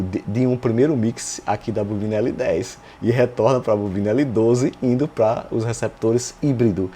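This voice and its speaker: A Brazilian man with a fairly low, warm and mellow voice walks through a technical demonstration. He sounds like an informal instructor, posing rhetorical questions and adding little check-in tags as he explains. There is faint noise behind him. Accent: Brazilian Portuguese. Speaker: radio repair instructor and channel host.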